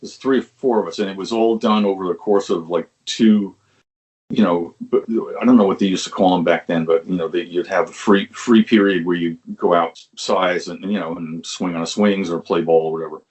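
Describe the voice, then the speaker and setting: Low-voiced older New man reminiscing. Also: fairly even intonation, fairly quick, darkish tone, low-quality recording. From Long Island, New York. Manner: rambling, frequent restarts, swears casually, chuckles. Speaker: musician guest. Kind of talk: conversational interview storytelling.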